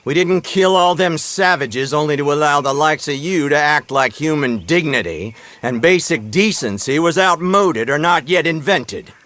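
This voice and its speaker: An actor playing a stern, haughty American detective in a video game, formal, condescending and menacing. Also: male voice, fairly high pitch, expressive, normal speed, hardly any pauses, a bit bright, no echo. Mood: contemptuous. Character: stern, haughty Pinkerton detective hunting outlaws. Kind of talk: dramatic video game acting.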